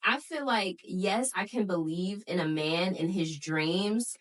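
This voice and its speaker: A woman's voice: lowish voice, measured pace, recorded from a distance. Twenty-something woman cohosting a podcast, blunt and slangy, sounds a bit off-mic. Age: 20s. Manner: frank and blunt, slangy, trails off and restarts. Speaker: podcast co-host. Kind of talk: casual chat.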